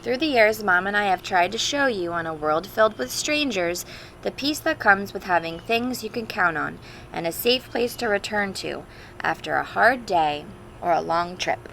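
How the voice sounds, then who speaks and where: A woman's voice: mid-range voice, animated, moderate pace, vocal fry, fairly bright tone, a little hiss or hum, low-quality recording. A woman reading a storybook aloud to young children, expressively, with simple chatty asides and questions. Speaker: teacher reading a picture book aloud to children.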